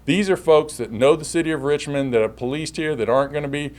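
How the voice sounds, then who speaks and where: A man's voice: on the high side, fast, a little mellow. A man speaking as a police chief at a public briefing with slides, often repeating and correcting himself as he goes.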